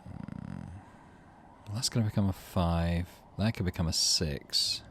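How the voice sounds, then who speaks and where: Middle-aged male game streamer, lowish voice with animated swings, storyteller reading plus offhand asides, faint noise behind. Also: slow, vocal fry. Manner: expressive storybook-like reading, muttering to himself between passages. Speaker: gaming channel host. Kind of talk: let's play, reading game text aloud with casual asides.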